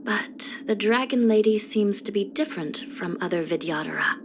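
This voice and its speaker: A woman playing a weary, grave young judge of the underworld in a video game, speaking softly in measured, formal phrases. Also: medium pitch, animated, gravelly, noisy background. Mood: solemn, reflective. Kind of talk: scripted video game character voice lines. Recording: music under the voice. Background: background music.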